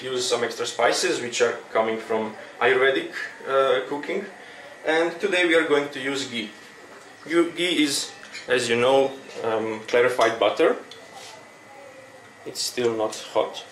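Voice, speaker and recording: A man with a Croatian accent giving an informal cooking demonstration, explaining things in a halting way. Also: medium pitch, fairly bright tone. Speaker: cooking instructor. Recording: roomy, distant mic with clear background noise.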